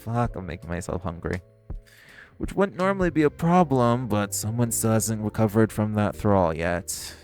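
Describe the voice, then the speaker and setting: A man playing a smug, hungry, flirtatious vampire in an audio roleplay, speaking with teasing theatrical flair in a gravelly voice. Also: lowish voice, lively intonation, moderate pace, a little mellow, soft background music. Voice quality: gravelly, creaky voice. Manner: teasing, theatrical, swearing, sighing. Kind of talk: audio roleplay monologue to the listener.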